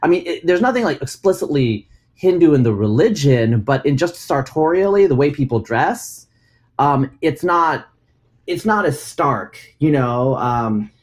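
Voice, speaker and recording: A man's voice: on the high side, expressive, unhurried. A man in casual discussion as host, talking in a rambling way with frequent fillers and restarts. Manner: rambling, many likes and restarts. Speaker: podcast host.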